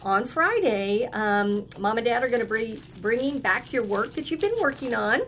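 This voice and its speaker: A woman's voice: a little high, lively intonation, moderate pace, a bit bright, a little hiss or hum. A woman teacher giving a lesson to young children, encouraging them in simple phrases and prompting them to repeat after her. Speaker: early elementary school teacher.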